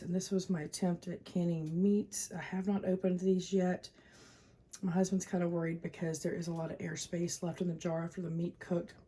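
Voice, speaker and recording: A woman with a Southern US accent chatting casually and informally to viewers as a home video host, her voice slightly hoarse and recovering. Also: fairly low pitch, dark tone. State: slightly hoarse after a cough and lost voice.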